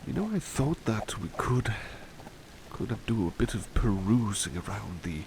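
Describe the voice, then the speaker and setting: Guy doing a devoted butler asmr roleplay, deep crackly voice, hesitant n formal, kinda fanciful. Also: expressive, a little slow, a little mellow. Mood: pleased, bashful. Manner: polite, hesitant, musing, formal wording. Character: devoted, fanciful, mild-mannered butler who paints. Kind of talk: ASMR roleplay, personal attention. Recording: clearly noisy ambience behind voice.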